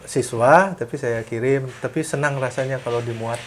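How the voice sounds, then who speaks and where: A man from Jambi, a cartoonist guest, answering interview questions in a relaxed, halting way in his studio. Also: medium pitch, a little hiss or hum. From Jambi, Indonesia.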